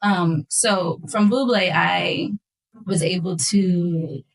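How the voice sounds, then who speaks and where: A woman's voice: fairly low pitch, slow pace, vocal fry, poor audio. An American woman in her twenties talking casually and earnestly as a guest in a podcast interview, explaining her thoughts. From Philadelphia, United States. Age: 20s. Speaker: guest, singer.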